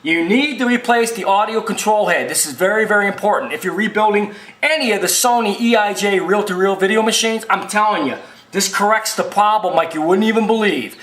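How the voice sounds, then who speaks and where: A man's voice: high voice, a bit bright. A man giving an informal repair tutorial as a technician, rambling and repeating himself in a folksy, emphatic way. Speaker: video machine repair technician and presenter. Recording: roomy, echoing sound.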